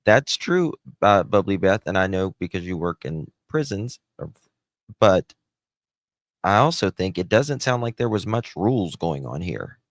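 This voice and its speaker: A man's voice: deep voice, sing-song, unhurried, no echo. A man hosting a casual live commentary, thinking aloud in a conversational way as he reacts and reads out comments. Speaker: host reacting to recordings and reading live comments.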